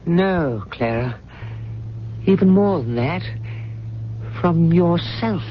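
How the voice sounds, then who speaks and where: An actor playing a devoted, dying older butler in a radio drama, speaking in a grave, courteous and earnest way. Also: male voice, fairly high pitch, animated, slow pace. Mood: urgent pleading. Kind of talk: 1970s radio drama acting. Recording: dull, noisy 1970s radio recording.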